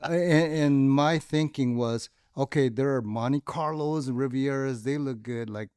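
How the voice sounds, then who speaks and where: A man born in the Philippines, with Tagalog as his first language, talks through his memories in a loose, rambling way. His voice sits at an ordinary middle pitch. The sound is dry and close. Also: a little slow, darkish tone, clean tone, dry recording. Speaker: guest, lowrider club member. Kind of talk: casual interview storytelling.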